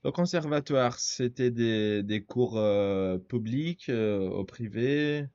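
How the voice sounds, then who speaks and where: A man with a Brazilian Portuguese accent hosts a relaxed podcast chat in French, which is not his first language, often pausing to find the right word. His voice sits at an ordinary male pitch. The recording is dry and a little muffled. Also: smooth, no echo. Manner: searching for French words, hesitant. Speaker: podcast host. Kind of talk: casual interview chat.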